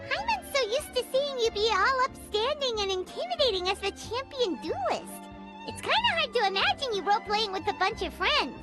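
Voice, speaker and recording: Chirpy tiny sprite companion. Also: female voice, very animated, moderate pace. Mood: curious. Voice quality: high squeaky voice. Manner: bubbly, wide-eyed questions. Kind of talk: game cutscene voice acting. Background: game music.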